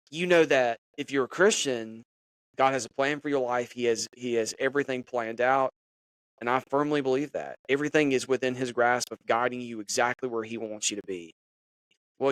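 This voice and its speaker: Man, podcast host, casual devotional talk, middle pitch, filler-heavy, dry close recording. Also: no room sound. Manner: conversational, preachy, many fillers.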